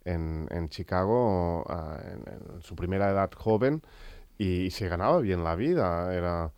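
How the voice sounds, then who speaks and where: Male interviewer, very low voice, measured and searching, dry close sound. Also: darkish tone, smooth, no echo. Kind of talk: interview questions.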